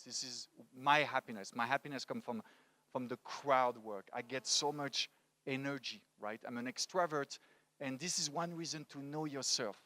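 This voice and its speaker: Bright-voiced French man speaking on stage. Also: mid-range voice, dry recording. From France, grew up in Paris. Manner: halting, emphatic, repeats words for stress. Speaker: conference speaker, storytelling coach. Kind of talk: motivational stage talk. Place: indoor stage at a conference. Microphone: handheld microphone.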